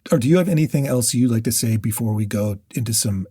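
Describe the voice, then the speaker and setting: A man's voice: medium pitch, expressive, quick pace, mellow, breathy edge, no echo. A man co-hosting a podcast, casually discussing books and sharing researched facts, often restarting and correcting himself mid-sentence. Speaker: podcast co-host.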